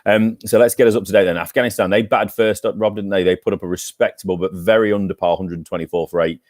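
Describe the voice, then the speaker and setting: Low-voiced man recapping cricket. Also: steady tone, fast talker, a little mellow, no echo, studio quality. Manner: chatty, teasing, reels off stats. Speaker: podcast co-host. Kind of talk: casual cricket chat and match recap.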